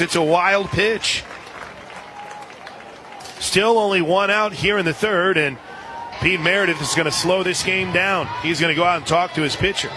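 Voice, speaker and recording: A man calling a softball game live as a radio play-by-play announcer, in a folksy, chatty way with listeners. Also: sits high. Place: outdoor softball stadium. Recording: loud crowd and outdoor stadium noise. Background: crowd noise, outdoor ambience.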